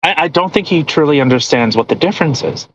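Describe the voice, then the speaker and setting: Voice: medium pitch, animated, fast talker, low-quality recording. A podcast host reading aloud and commenting casually, blunt and argumentative, with plenty of swearing.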